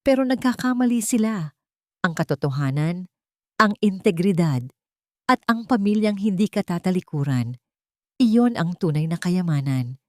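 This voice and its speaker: Woman narrating, notably low-pitched and dry. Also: lively intonation, normal speed, long pauses, darkish tone, dry recording. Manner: earnest, sentimental, formal. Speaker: storyteller and channel host. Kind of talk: scripted dramatic story narration.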